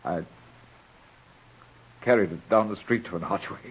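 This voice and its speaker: An actor in a 1940s radio drama playing a weak, overwrought man, halting and stammering with anguish and fear. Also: low voice, husky. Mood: shaken, haunted. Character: weak, frightened, overwrought ne'er-do-well younger man. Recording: muffled vintage 1940s radio recording.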